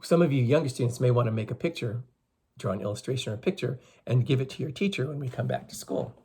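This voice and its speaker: Animated, husky male storyteller for children. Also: medium pitch, quick pace. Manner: teacherly, emphatic, addresses listeners with questions. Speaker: storyteller reading to schoolchildren. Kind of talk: expressive children's story read-aloud.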